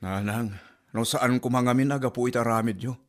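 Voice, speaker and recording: A man's voice: medium pitch, fairly even intonation, moderate pace, lightly acted. A man playing an exasperated adult son who scolds his mother with reproachful questions in an amateur comedy audio drama.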